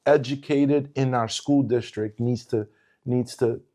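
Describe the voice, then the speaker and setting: Man, middle-aged, born in Portugal, former teacher, dark mellow voice, emphatic, casual conversation. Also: medium pitch, steady tone, unhurried. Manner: emphatic, repeats words when building a point.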